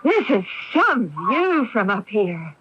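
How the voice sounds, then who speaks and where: A woman's voice: on the high side, sing-song, slow. An actress playing a friendly, bold young woman in her twenties in a radio drama. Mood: breezy, friendly. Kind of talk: early 1980s radio drama acting. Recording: noisy, dull old AM radio recording.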